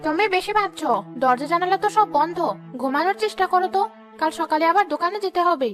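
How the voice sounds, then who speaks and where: A woman's voice: very high-pitched, fast, over music. A woman playing a concerned, practical village wife in a cartoon story.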